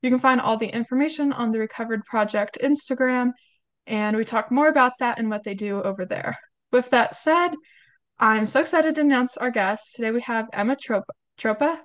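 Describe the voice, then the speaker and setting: A young woman with a cold hosts a casual podcast chat. Her voice sits a bit high. She is upbeat and chatty, quick to apologize. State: has a cold. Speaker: podcast host.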